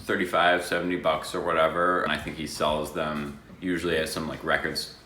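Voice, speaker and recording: Male haul-video co-host, deep voice, hesitant and understated delivery, unscripted, roomy sound. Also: level tone, fairly quick, sharp tone. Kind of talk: casual unscripted chat showing finds. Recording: roomy echo, some background noise.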